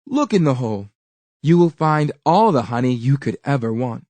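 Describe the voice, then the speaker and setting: A woman's voice: a bass voice, very animated, moderate pace, dark tone, no room sound, exaggerated voice. A woman voicing a clever, sweet-spoken village girl from a storybook, kind but firm as she instructs. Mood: sweetly sly.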